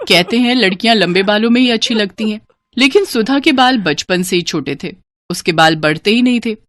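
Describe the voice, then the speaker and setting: A woman's voice: medium pitch, brisk, fairly bright tone, dry recording. A woman narrator reading a scripted children's story.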